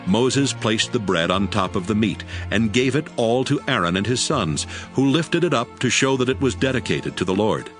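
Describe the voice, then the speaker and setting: Male narrator, low pitch, measured, solemn, scripted scripture reading, music clearly underneath. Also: expressive.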